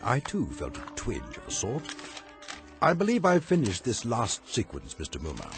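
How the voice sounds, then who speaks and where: Proud stuffy elizabethan bard for a radio play, really swoopy delivery, fancy old-timey wording. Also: male voice, medium pitch, wildly swooping pitch, normal speed, music in the background. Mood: wry. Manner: formal, archaic, theatrical diction. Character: dignified, aggrieved Elizabethan playwright, proud and eloquent. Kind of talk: comedic radio drama acting.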